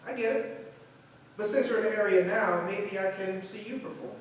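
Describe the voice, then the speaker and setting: Man playing a calm 1950s Harlem theater director, high male voice, encouraging, stage reading, very echoey. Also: normal speed, natural voice. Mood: proud, teasing. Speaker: stage actor. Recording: roomy far-off mic, faint noise.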